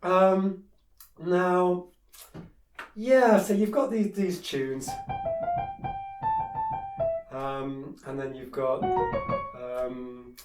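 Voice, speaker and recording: A man with a fairly high voice talks through a piano piece in a relaxed, frank way, now and then humming the tune aloud. He sounds set back from the mic in an echoey room. Piano notes ring out clearly behind him. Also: extremely slow, pauses often. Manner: chatty, frank, sings melodies on "da". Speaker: piano teacher. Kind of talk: casual piano tutorial, singing passages. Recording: roomy, distant mic, piano playing.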